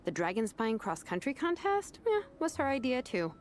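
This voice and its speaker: A woman's voice: high voice, very animated, normal speed, a little hiss or hum. A woman voicing an easygoing young vice captain in a video game, explaining things casually and wryly. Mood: breezy.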